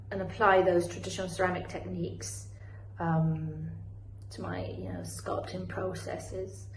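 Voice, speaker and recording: A woman with a British accent, raised in Spain, presenting her work in a thoughtful, measured recorded message with small restarts. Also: lowish voice, a little mellow, roomy sound, a little hiss or hum, low-quality recording. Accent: British English.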